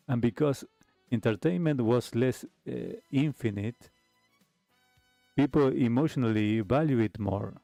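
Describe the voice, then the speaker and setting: A man hosting a retro radio talk show, speaking in a reflective, halting monologue to his listeners. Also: medium pitch, creaky, dark tone, no room sound. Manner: hesitant, halting phrasing with pauses. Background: background music.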